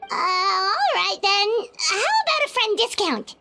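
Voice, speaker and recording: Squeaky, childlike fairy sidekick. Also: female voice, big pitch swings, a little slow, gravelly, heavy background noise, full character voice. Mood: puzzled, cheeky. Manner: exclamatory, speaks of self in third person. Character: tiny excitable female floating fairy companion, childlike. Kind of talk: video game voice acting.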